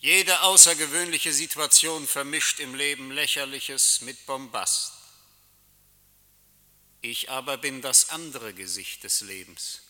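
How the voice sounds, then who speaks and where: Solemn, slow-speaking Death in a reverberant hall. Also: male voice, medium pitch, sharp tone. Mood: quiet, solemn. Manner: solemn, declamatory, deliberate. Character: Death personified, solemn, majestic male coachman come to collect souls. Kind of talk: German radio play acting. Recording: long reverberant hall echo.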